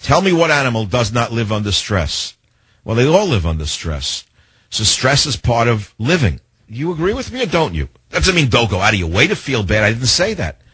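A radio talk show host, a man, delivering an indignant monologue full of rhetorical questions, slowing down to hammer his points. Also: mid-range voice, very animated, fairly bright tone, breathy edge, dry recording. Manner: slows down to hammer lists and key phrases.

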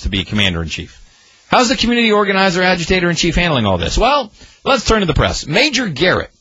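A man hosting political talk radio, sarcastic and animated, his pitch leaping around as he mocks. His voice is bright and a little husky, recorded dry and slightly dull. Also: no echo. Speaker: guest radio talk host.

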